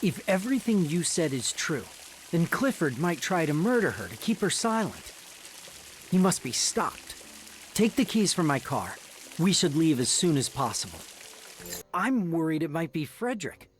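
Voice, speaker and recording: A man's voice: a little high, very animated, normal speed, a little hiss or hum. A man playing a stern but loving father and upright judge, with a grave, dramatic delivery in a mystery game. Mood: urgent, accusing.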